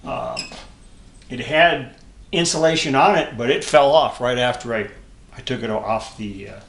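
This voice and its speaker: A man in his seventies giving a casual, unscripted hobby show-and-tell, halting and rambling as he thinks aloud. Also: medium pitch, fairly bright tone. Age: 70s. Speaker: hobbyist vlogger showing vintage electronics. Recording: echoey room with clear background noise and clicks.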